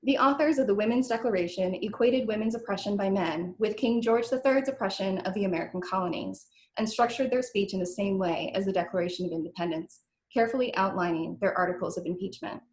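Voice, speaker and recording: A woman's voice: mid-range voice, fast. Woman reading a scripted introduction, echoey room. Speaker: rare books curator introducing a reading. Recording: roomy, echoing sound.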